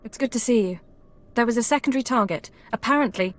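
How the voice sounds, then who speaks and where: Actress as a fast-talking female intelligence analyst. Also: a little high, natural voice. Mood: sober. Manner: clipped, precise, earnest. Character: crisp, efficient young female intelligence analyst. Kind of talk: video game voice acting.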